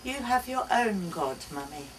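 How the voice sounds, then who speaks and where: A woman's voice: mid-range voice, expressive, measured pace, close to an everyday voice. Woman voicing small curious daughter, chirpy, direct, storybook reading, faint noise, off-mic. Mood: matter-of-fact. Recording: distant mic, noisy live bookshop event.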